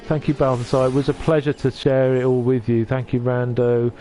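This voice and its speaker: A man from North Wales streaming from his sofa at home. He chats gently with his viewers and often stops and restarts. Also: mid-range voice, level tone, a little fast, poor audio. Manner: gentle, hesitant, with many restarts. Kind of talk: casual chat reading out viewer comments.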